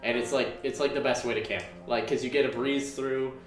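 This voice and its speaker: A man's voice: medium pitch, fairly even intonation, brisk, echoey room, faint music behind. A man of twenty chatting casually as a podcast co-host, rambling through a story with lots of fillers. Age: 20s. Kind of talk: casual chat.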